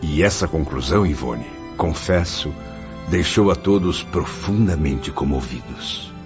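A Brazilian man playing a grave, compassionate spirit recounting his memories in a radio drama, solemn and measured. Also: very deep voice, expressive. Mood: solemn, moved. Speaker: narrator character in a radio drama. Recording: dull lo-fi audio under music. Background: background music.

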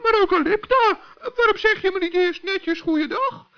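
A man's voice: very high-pitched, normal speed, voice pushed up. A man voicing a small, cheerful, inquisitive forest gnome in a children's radio play, chirpy and polite. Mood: calm, chiding. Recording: dull old radio recording.

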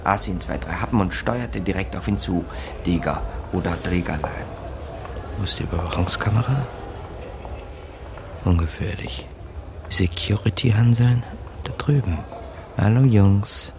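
A smooth small-time swindler in a crime radio play. His voice sits low and sounds conspiratorial and wry. Clear background ambience runs behind him. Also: measured pace, long pauses, noisy background. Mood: wry, scheming. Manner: conspiratorial, dryly wry asides. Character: smooth small-time con man narrating his own schemes.

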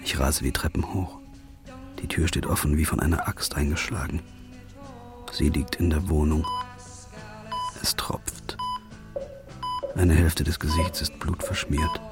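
A man's voice: rumbling low, steady tone, measured pace, long pauses, music in the background. An actor playing a hunted male journalist in a radio play, narrating in first person and arguing with tense, paranoid insistence.

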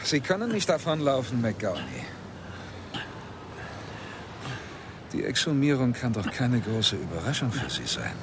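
A man's voice: mid-range voice, noisy background. An actor playing a probing, insistent male lawyer who tells his own story in a German radio play, with a dry, pressing manner. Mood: pressing, sceptical. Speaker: radio drama actor, narrator.

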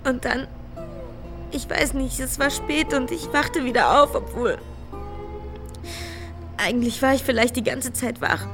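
An actress playing a desperate, frightened young prostitute on the run in a radio crime drama, emotionally raw and pleading. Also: female voice, high voice, measured pace, long pauses, music in the background. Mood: subdued, wounded. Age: young adult.

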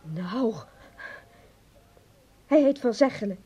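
An actress in a radio play voicing a defensive, long-serving secretary with a breathy voice. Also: female voice, a little high, animated, takes it slowly, dark tone, heavily acted. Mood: defensive, reluctant. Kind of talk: Dutch radio play acting. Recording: dull lo-fi radio play recording.

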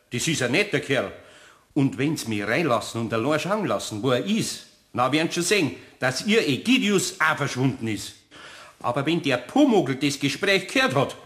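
A man's voice: on the high side, fairly bright tone. A male actor with a Bavarian accent in a radio play, as an earnest, awkward, folksy older craftsman. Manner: hesitant, folksy, plain-spoken. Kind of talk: German radio play acting.

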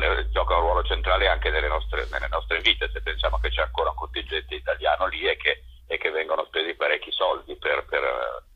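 A man's voice: a bass voice, husky. A man, a theatre co-director, speaking as a guest in a radio interview by phone, hesitant and often repeating words as he searches for them. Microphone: telephone. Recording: tinny phone line.

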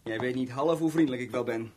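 A man's voice: medium pitch, fast, noisy background, lightly acted. An actor playing a cocky, scheming small-time crook in a 1970s Dutch radio drama, chummy and mock-friendly as he boasts and explains. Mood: chummy, explaining.

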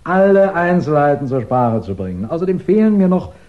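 A man's voice: fairly high pitch, very animated, highs cut off. An actor playing a methodical, courteous police investigator who lays out his case insistently in a 1960s German radio crime drama. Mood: methodical, assured. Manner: measured, insistent, explanatory, courteous.

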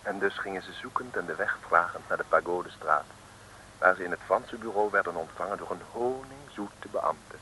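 Deep-voiced male radio narrator. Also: moderate pace, sharp tone, slight background noise, rough recording.

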